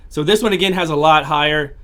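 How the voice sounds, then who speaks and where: A man casually commentating his own gameplay, talking through each battle and repeating his phrases, his voice starting to go hoarse. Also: a little high, fast talker, bright, forceful tone. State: voice going hoarse. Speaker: gaming channel host.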